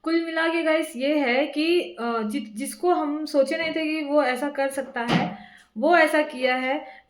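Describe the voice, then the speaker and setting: A woman giving casual commentary to viewers in a hesitant, explanatory way, in a roomy recording. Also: high-pitched. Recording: roomy echo with faint noise.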